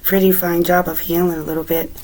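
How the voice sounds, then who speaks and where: A woman with a raspy, recovering voice giving a relaxed, chatty tarot reading at home, with many fillers. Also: on the low side, fast, noisy background. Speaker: tarot reader. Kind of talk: casual tarot reading to camera.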